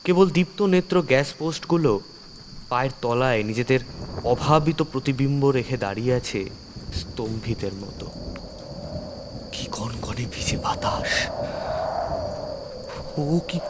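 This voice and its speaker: A man's voice: medium pitch, expressive, normal speed, pauses often, very noisy. A man narrating a horror story in the first person as a doctor, theatrical and suspenseful.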